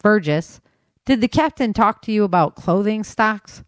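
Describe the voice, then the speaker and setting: A woman's voice: mid-range voice, lively intonation, normal speed, no room sound, lightly acted. Woman voicing a male staff sergeant for an audiobook: inquisitive, earnest, protective, dry recording. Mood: earnest, curious. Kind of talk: audiobook character dialogue.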